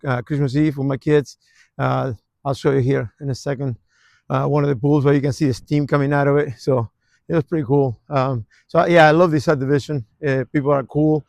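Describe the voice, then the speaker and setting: A man, giving a relaxed, rambling walking tour of his old neighborhood outdoors, with lots of fillers and restarts. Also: mid-range voice, gravelly, no room sound. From Argentina. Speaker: real estate vlogger.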